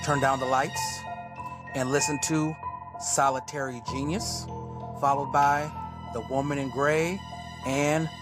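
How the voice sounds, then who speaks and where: A man hosting a podcast, introducing old radio plays casually in measured, halting short phrases. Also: medium pitch, steady tone. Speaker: podcast host. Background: background music.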